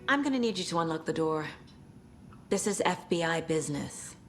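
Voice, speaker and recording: A woman's voice: low-pitched, animated, a little fast, faint music behind. A woman playing a blunt, determined FBI agent in a video game, terse and probing. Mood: firm. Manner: terse, probing, matter-of-fact. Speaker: lead character in a video game. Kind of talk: cinematic game acting, with inner monologue.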